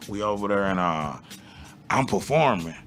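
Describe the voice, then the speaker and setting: A man with a raspy voice and a drawl swaps stories in a loose, slangy podcast conversation. His tone is bright and forceful, and faint music hums underneath. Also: lowish voice, moderate pace. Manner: animated storytelling, slangy. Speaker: podcast co-host, rapper. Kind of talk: casual chat.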